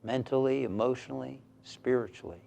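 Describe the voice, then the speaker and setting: A man leading a guided meditation as a minister, speaking in a calm, soothing, measured way with long pauses. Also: medium pitch, gravelly, muffled recording.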